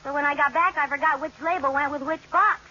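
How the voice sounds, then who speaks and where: An actress playing a teasing, sentimental American housewife in an old radio sitcom, wry and emphatic. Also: female voice, sits high, quick pace, bright, forceful tone, dull audio. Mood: sheepish. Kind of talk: 1940s-50s radio sitcom acting. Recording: noisy, band-limited old radio recording.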